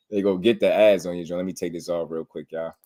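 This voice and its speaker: A man's voice: low voice, mellow. Laid-back man riffing on boxing. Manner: laid-back, laughs often, repeats himself. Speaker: boxing channel host. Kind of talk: casual reaction commentary.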